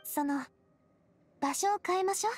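An actress playing a gentle, earnest young lady who leads others, in a Japanese video game. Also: very high-pitched, normal speed, faint music behind. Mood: gentle, sad.

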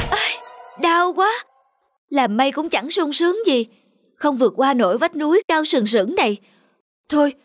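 A high, exclamatory voice for a weary fairy-tale stonecutter. He is plaintive and never content with his lot. Music plays clearly behind the cartoon dialogue. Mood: complaining. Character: weary, discontented male stonecutter granted wishes, easily delighted and dismayed.

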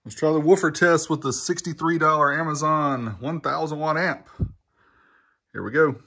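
A man's voice: a little high, fairly even intonation, normal speed, pushed and bright, slightly rough, no room sound, studio quality. A man narrating a casual product review in a conversational, folksy way. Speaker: host of an amplifier review channel.

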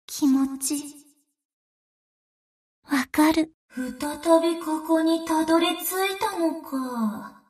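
A woman voicing a proud, wrathful abyssal warship demoness in a Japanese anime game, halting and dramatic, with a mournful streak. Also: high-pitched, a little mellow, faint music behind. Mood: stunned, resigned. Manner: slow, halting, dramatic delivery. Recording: echoing, distant-sounding game voice.

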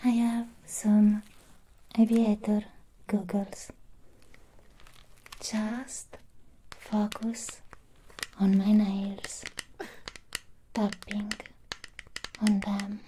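A woman playing a soothing, protective female robot doll in an ASMR roleplay, giving hushed, whispered instructions close to the mic. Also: medium pitch, monotone, drawn-out words, long pauses, slight background noise, low-quality recording. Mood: hypnotic, calm. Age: young adult. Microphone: close ASMR microphone.

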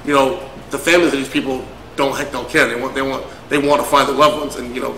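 A man's voice: mid-range voice, fairly even intonation, quick pace, bright, forceful tone, echoey room, slight background noise, rough recording. A man co-hosting a casual chat show, talkative and joking, often calling people brother or man. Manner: chatty, joking, says brother and man a lot. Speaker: podcast co-host.

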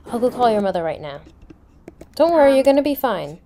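A woman's voice: a little high, sing-song, a little fast, mellow. Casual helpful schoolteacher played by a woman, noisy recording. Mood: concerned, reassuring. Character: attentive school teacher and lunch server at private school. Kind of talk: improvised voiced game roleplay. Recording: noisy home mic with room ambience.

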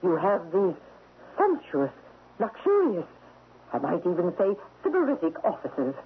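An actress playing an imperious, wealthy widow in a radio drama, sharp and unyielding, with precise, haughty, formal diction. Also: female voice, lowish voice, huge pitch swings, a little slow, slight background noise. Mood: coolly accusing. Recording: muffled lo-fi old radio broadcast.

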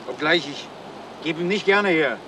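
An actor plays a shifty street dog seller, evasive and hesitant. His male voice is fairly high, with clear traffic noise behind in an old radio play. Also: natural voice. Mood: wheedling. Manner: halting, evasive. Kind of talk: German radio crime comedy acting, 1970s.

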